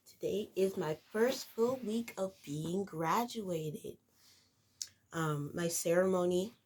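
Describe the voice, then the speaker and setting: Woman vlogger with a head cold: nasal and congested, unhurried, meandering chat full of ums. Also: lowish voice, a little mellow. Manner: rambling, with many fillers and restarts. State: stuffy nose from a cold. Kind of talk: casual talk to camera.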